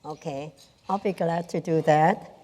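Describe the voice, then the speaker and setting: An older woman from Hong Kong, a businesswoman telling her life story on a panel in a slow, halting way with many pauses. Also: deep voice, fairly even intonation, mellow. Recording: long hall reverb.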